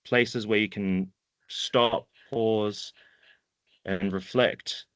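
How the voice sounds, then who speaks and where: A man's voice: lowish voice, steady tone, extremely slow, no echo, poor audio. A man with a New Zealand accent giving an informal slide presentation, laid-back and slangy in his way of talking. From central North Island, New Zealand. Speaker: webinar presenter, environmental data worker.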